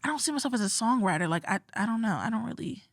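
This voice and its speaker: A teenage girl, a high school senior, chatting as a guest in an interview and rambling with lots of "like" and restarts. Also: animated, racing, creaky, no echo, clean studio sound. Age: teens. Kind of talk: casual interview chat.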